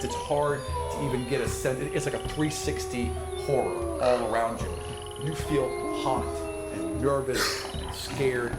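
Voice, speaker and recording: A man running a tabletop game as game master, describing scenes dramatically and voicing sound effects himself. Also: mid-range voice. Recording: roomy, with clear background noise.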